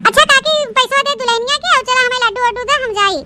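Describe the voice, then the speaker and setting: A woman's voice: very high voice, fast, pushed and bright, no room sound, exaggerated voice. A woman voicing a nosy, stingy, gossipy village neighbour with an eastern Uttar Pradesh accent in a comic cartoon. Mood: grudging. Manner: gossipy, prying. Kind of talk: comic cartoon story dialogue in rustic dialect.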